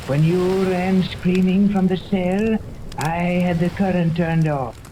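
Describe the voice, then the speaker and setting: An actor playing a haughty, sinister old scientist mastermind in 1930s radio serial style, pompous and formal. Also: male voice, high voice, fairly even intonation, slow. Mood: brisk, commanding. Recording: noisy vintage 1930s radio recording.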